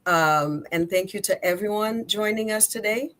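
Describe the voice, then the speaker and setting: A woman's voice: medium pitch, normal speed, fairly bright tone. A middle-aged woman with a Haitian accent, a native Creole speaker, answering conversationally as an interview guest. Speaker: guest, nonprofit executive director. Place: remote video call.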